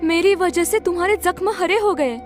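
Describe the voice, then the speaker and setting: A woman playing a young new bride who speaks softly and apologetically. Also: very high-pitched, moderate pace, music in the background. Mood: apologetic. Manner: soft, apologetic. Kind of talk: Hindi audio story acting.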